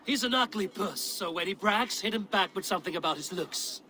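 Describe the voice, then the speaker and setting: Bright, forceful Viking warrior. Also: male voice, high voice, a little fast. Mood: goading, coaching. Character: bold blunt Viking warrior, male, goading and teasing mentor. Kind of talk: video game cutscene acting.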